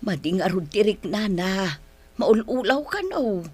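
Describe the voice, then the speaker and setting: A woman's voice: medium pitch, expressive, unhurried, a little mellow, slightly rough. An actress playing a patient older mother and wife with an Ilocano accent, coaxing and mildly chiding in a radio drama. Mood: chiding, soothing. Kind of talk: Philippine radio drama acting.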